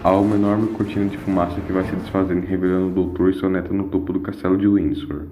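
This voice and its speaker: Male narrator, Brazilian accent, lowish voice, dramatic scripted storytelling, echoing over music. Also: level tone, quick pace, warm tone.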